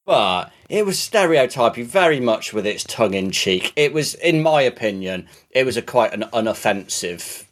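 A man chatting casually on a podcast, rambling with many restarts and swearing now and then as he gets excited. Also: mid-range voice, normal speed, hardly any pauses. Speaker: podcast co-host. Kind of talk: casual podcast chat about games.

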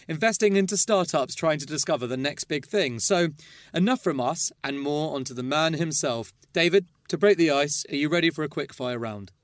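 A man reading a scripted introduction for a guest in a smooth, read-aloud way. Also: on the high side, no echo. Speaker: presenter.

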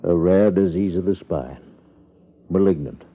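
An actor playing a solemn doctor with a grave, halting manner and husky voice in a 1950s radio drama, on a thin, muffled old recording. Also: male voice, sits low. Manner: grave, halting short phrases. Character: solemn male doctor keeping a dying patient.

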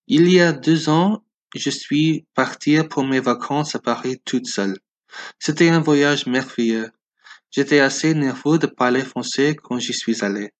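A man's voice: medium pitch, a little slow. American man, twenties, speaking as a learner. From United States, Los Angeles. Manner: non-native phrasing with learner's errors. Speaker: podcast listener sending a voice message. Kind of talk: prepared personal message in learner's French.

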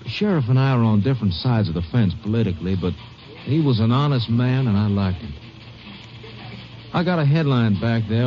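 A man's voice: mid-range voice, a little hiss or hum, close to an everyday voice. An actor playing a chatty, outspoken small-town newspaper editor in a 1950s radio drama. He talks in a wry, chatty way. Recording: muffled vintage radio broadcast.

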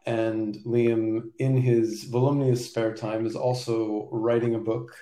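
A man's voice: medium pitch, flat delivery, measured pace, dark tone. A man who hosts a podcast and chats in a friendly, responsive way. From Poland, raised in the US. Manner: friendly, responsive, many short acknowledgements. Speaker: podcast host. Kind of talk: conversational interview hosting. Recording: roomy echoing room sound.

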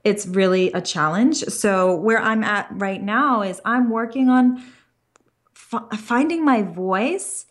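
A young woman speaks as an interview guest, earnest and open about her plans. Her voice sits at an ordinary middle pitch, and she talks in long runs, often circling back with small restarts. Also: slow. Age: young adult. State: pregnant. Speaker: career coach, podcast guest. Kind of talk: interview conversation.

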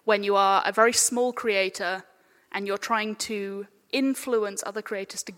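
A woman's voice: medium pitch, fairly even intonation, normal speed, a bit bright. A woman chairing a live panel in an informal, casual way, putting questions to the guests. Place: live event panel in a hall. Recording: echoey hall with long reverb.

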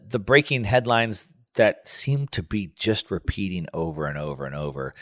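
A man's voice: on the low side, no echo, studio quality. A man hosting a radio talk show, speaking in an emphatic, conversational way. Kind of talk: radio talk show commentary with scripted ad reads.

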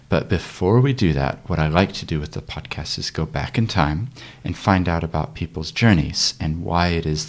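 A man's voice: sits low, no room sound. A man with a New Zealand accent hosting a podcast interview, speaking in an earnest, encouraging way. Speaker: podcast host.

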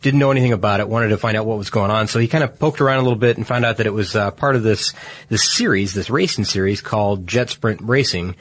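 Podcast co-host, male, congested and nasal, low husky voice, loose rambling delivery. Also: fast talker, fairly bright tone, no echo. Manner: rambling with many fillers. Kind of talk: casual chat.

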